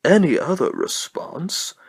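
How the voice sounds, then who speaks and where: Mad clown supervillain: slow, sardonic showman delivery, huge pitch swings, cartoon menace, dry close sound. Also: wildly swooping pitch, vocal fry, breathy edge, no room sound, exaggerated voice. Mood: manic, sardonic.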